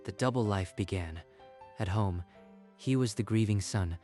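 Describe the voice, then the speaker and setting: A man narrating a manga dub in an earnest, steady storytelling voice. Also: on the low side, moderate pace, soft background music. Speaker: narrator and lead voice actor.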